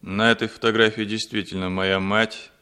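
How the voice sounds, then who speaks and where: A guarded, deep-voiced Soviet man. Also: little pitch movement, pushed and bright, clear, resonant tone. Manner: measured, guarded. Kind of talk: 1960s radio drama acting.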